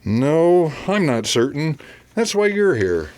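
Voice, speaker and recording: A man's voice: fairly high pitch, big pitch swings, takes it slowly, a little mellow. An actor playing a guarded, cautious radio station manager in an audio drama, reserved in his way of talking. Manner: evasive, reserved. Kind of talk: audio theater acting.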